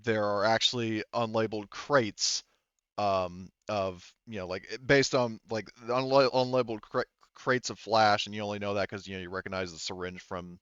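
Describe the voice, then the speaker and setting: Halting, low-voiced man running a tabletop game. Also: fairly even intonation, a bit bright, smooth, no room sound, highs cut off. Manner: halting, informal, sweary. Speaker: game master. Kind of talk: casual improvised narration of a tabletop roleplaying game.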